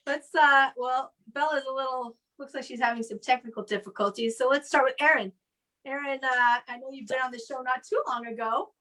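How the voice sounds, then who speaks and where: A woman's voice: high voice, fairly quick, fairly bright tone, rough recording. A woman hosting a casual online comedy chat from home, rambling along and acting out what people said. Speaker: host of an online comedy show. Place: home, video call.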